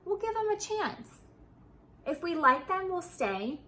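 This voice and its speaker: Loyal little storybook boy voiced by a woman, eager and exclaiming, high bright tone. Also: very animated, takes it slowly, slight background noise, heavily acted. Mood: thoughtful.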